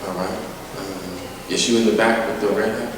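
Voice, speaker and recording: A man moderating a panel, calling on the audience in a slow, relaxed way. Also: lowish voice. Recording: echoey hall, distant mic, loud noise.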